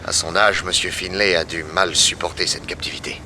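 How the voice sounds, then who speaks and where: A commanding detective hero from a sixties French radio serial. His low voice is very bright and forceful, brisk and authoritative, on a slightly noisy old recording. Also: steady tone. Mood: assured, urgent. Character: shrewd, commanding detective hero, an older uncle figure.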